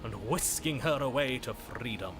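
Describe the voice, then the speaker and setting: A man acts out a florid romantic hero for a video game. He declaims grandly and slowly, ardent in every phrase, with sweeping intonation. Loud music runs underneath. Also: medium pitch, animated, close to an everyday voice. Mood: defiant, ardent. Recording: music behind the voice.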